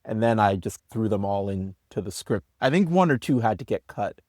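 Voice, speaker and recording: Man, slightly low voice, interview guest, anecdotal, conversational, very dry recording. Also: lively intonation, normal speed, darkish tone, dry recording. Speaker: story editor and writer being interviewed. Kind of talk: interview talk.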